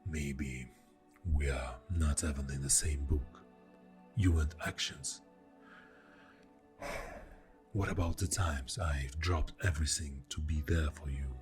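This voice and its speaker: A man acts out an exasperated boyfriend in an intimate ASMR roleplay. His voice is very low and soft, and he takes his time, sighing and pausing as he defends himself. Music plays behind him. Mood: hurt, reproachful. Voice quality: deep, soft, breathy voice. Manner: slow, pausing, with heavy sighs. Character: exasperated, defensive boyfriend arguing with a jealous partner.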